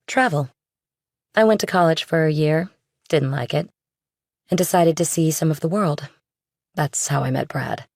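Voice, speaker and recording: A woman's voice: low-pitched, moderate pace, long pauses, dry recording, studio quality. A woman playing a young, worldly, guarded girlfriend in an audiobook, speaking casually and directly. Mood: breezy. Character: young worldly girlfriend of a rich older man, guarded, pointed. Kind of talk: audiobook character dialogue.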